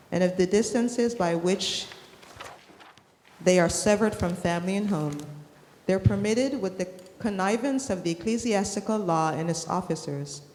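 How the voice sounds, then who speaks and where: A woman's voice: medium pitch, darkish tone. A woman reading aloud from a formal historical book as a participant in a class. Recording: echoey hall, long reverb.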